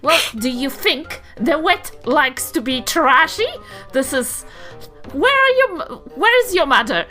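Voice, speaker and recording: A woman voicing a fussy, indignant but soft-hearted old granny in a tabletop game. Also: high voice, expressive, measured pace, faint music behind, full character voice. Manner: fussy, indignant, guilt-tripping.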